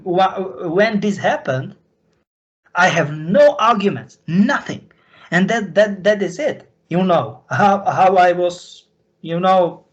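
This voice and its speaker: A man from Slovakia with a Slovak accent, a native Slovak speaker, talking off the cuff and confessionally in halting, repetitive, broken phrases. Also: high-pitched, lo-fi sound. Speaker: recurring guest on a question-and-answer show. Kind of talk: unscripted, confessional talk.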